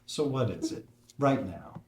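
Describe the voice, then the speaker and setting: A patient, fatherly woodworker played by a man speaks in a gentle, reflective drama style. His voice is mid-pitched, with room echo and noticeable background noise. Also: expressive, measured pace, close to an everyday voice. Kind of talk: dramatic screenplay table read.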